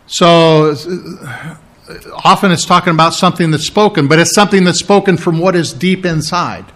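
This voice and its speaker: A middle-aged man preaching a teaching sermon, explaining scripture with asides and humor and slowing down to stress key phrases. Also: on the high side, a bit bright. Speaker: pastor.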